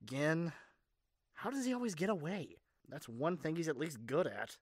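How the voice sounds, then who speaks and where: A man's voice: a little high, lively intonation, moderate pace, noisy background, lightly acted. A man playing a caring but cocky young superhero in an audio roleplay, speaking in an earnest, casual, teasing way. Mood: concerned. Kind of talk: amateur ASMR audio roleplay.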